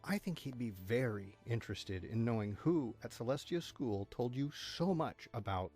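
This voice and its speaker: A man's voice: medium pitch, lively intonation, normal speed, darkish tone, soft background music, lightly acted. A man playing an inquisitive, self-assured, suspicious pony in an audio drama. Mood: probing.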